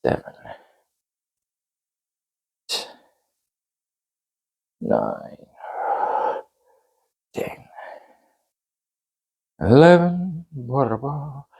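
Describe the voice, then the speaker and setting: A man's voice: medium pitch, big pitch swings, very slow, vocal fry, long pauses, husky. A man with a New Zealand accent demonstrating a home workout, chatting encouragingly and counting reps aloud while he exercises. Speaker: fitness instructor.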